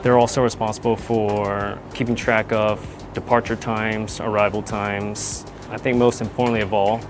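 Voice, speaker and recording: A man narrating an explanatory tour as an air traffic controller, in a measured, semi-scripted way. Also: lowish voice, little pitch movement, over music.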